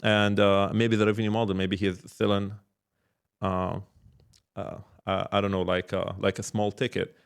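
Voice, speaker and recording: Male podcast guest, startup mentor type, lowish voice, casual and reflective, restarts phrases as he explains. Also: level tone, vocal fry, clean tone. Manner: halting, repeats words, explains with examples and check-questions. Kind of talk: casual reflective interview talk.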